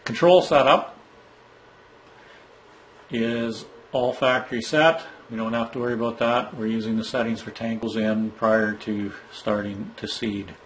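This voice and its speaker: A man's voice: on the low side, fairly even intonation, a little slow, slight background noise, rough recording. A man giving a plain, step-by-step walkthrough of a monitor's setup screens, speaking from behind a handheld camera in an indoor room. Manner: plain, instructive, some fillers and restarts. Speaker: product trainer.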